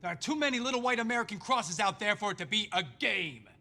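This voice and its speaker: An actor playing an intense, indignant army general in a war game, speaking emphatically. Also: male voice, sits high, expressive, fast, heavily acted. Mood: outraged. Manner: forceful, emphatic.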